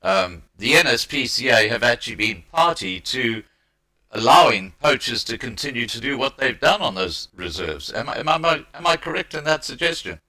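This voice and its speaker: A male host interviews conversationally on a live stream. His voice is bright and forceful, with fairly animated pitch at an ordinary height. He often doubles words as he builds toward a question. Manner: halting, repeats words as he builds a point.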